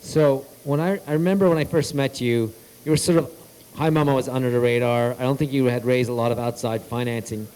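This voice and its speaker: A man's voice: mid-range voice, steady tone, fairly quick, darkish tone, clear, resonant tone, low-quality recording. A Canadian man hosting an on-stage interview, asking questions casually with fillers. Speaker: interviewer host. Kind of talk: fireside chat interview. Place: event stage.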